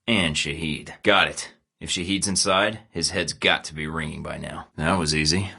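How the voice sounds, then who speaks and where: Flirty sarcastic spy dude from a game, super low husky voice. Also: male voice, fairly even intonation, normal speed, sharp tone, full character voice. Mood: wry. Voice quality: very deep, husky voice. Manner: dry sarcastic quips. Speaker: video game protagonist voice. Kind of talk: game voice lines.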